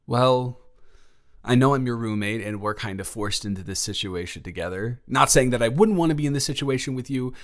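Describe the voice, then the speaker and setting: A man's voice: medium pitch, a little fast, close to an everyday voice. A young man playing a shy, sweet college freshman in a romantic audio roleplay, stammering and rambling with earnest self-deprecation. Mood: awkward, earnest. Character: shy, nervous, sweet young male college freshman from small-town Georgia. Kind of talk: romantic audio roleplay, one-sided conversational acting.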